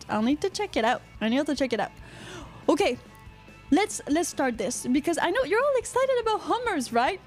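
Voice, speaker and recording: A woman from Quebec with a Quebecois accent, co-hosting a casual live podcast, rambling and joking as she reads out chat comments. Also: sits high. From Quebec, Canada. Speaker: podcast co-host.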